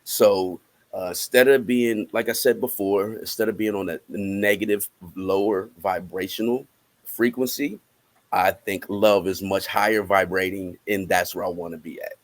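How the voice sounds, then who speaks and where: A man with an American accent speaking casually as an interview guest, halting as he thinks aloud. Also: low-pitched, steady tone. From Cincinnati, United States. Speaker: interviewed musician and rapper.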